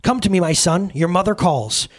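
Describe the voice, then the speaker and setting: A man's voice: high-pitched, animated, moderate pace, voice pushed up. A man voicing an arrogant female spirit, a doting mother who summons and gloats in a screeching, dramatic storytelling manner. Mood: summoning, elated.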